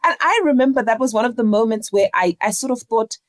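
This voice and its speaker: A woman from Zimbabwe, speaking earnestly and deliberately as a reflective interview guest. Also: a little high, dry recording, clean studio sound. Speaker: interview guest, leadership coach.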